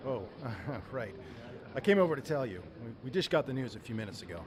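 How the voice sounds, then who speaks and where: An actor playing a chatty, flustered radio studio staffer in a casual, sheepish manner, as radio comedy drama. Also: male voice, mid-range voice, big pitch swings, fairly quick, background noise, lightly acted. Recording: crowd chatter behind.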